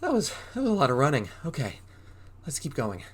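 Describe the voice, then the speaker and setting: A man reading a story to young children as an acting teacher, expressive and often putting questions to his listeners. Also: mid-range voice, brisk, breathy edge.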